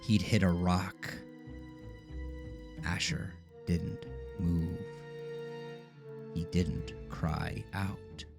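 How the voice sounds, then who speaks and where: A man narrating a scripted horror story with deliberate, dramatic storytelling. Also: sits low, gravelly. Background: music.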